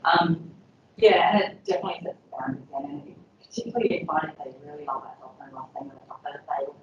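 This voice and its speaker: A woman's voice: low voice, fast talker, recorded from a distance, a little hiss or hum. A woman presenting an educational session to health staff, chatty and conversational with frequent ums and you-knows. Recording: dull, echoey room audio from afar.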